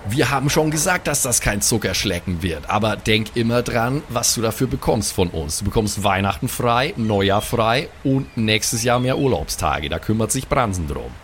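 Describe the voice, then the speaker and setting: A man's voice: on the low side, expressive, normal speed, hardly any pauses, a bit bright, slight background noise. A man improvising his character in a tabletop role-playing game, talking in an enthusiastic, teasing way.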